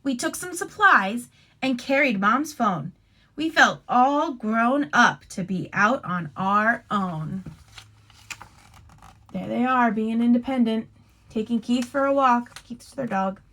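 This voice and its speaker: Woman, fairly high pitch, very slow delivery, children's read-aloud, expressive, instructive, casual asides. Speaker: teacher reading a picture book aloud.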